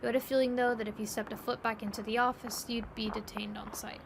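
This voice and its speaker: A woman's voice: fairly high pitch, quick pace. A woman narrating a scripted story in the second person as the narrator of an audio drama. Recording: loud steady background ambience noise. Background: ambient noise.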